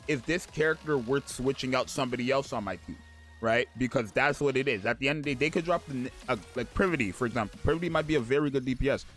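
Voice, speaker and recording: Slightly raspy just-woke-up man. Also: mid-range voice, a bit bright. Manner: rambling, keeps asking "right?". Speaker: gaming video host. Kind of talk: casual gameplay commentary.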